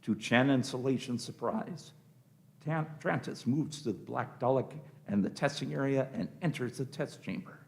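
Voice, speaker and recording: A man's voice: medium pitch, normal speed, gravelly. A man narrating a scripted sci-fi radio play.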